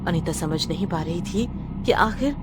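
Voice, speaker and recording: A woman's voice: fairly low pitch, expressive, moderate pace, very noisy. A woman narrating a scripted horror story in a dramatic storytelling style. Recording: loud music bed behind voice. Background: loud music.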